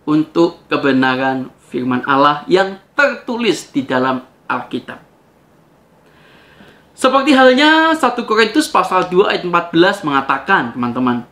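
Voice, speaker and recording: A man's voice: on the high side, bright, forceful tone. A man speaks straight to his viewers like a preacher making a rebuttal. His delivery is emphatic and challenging, leaning on pointed rhetorical questions.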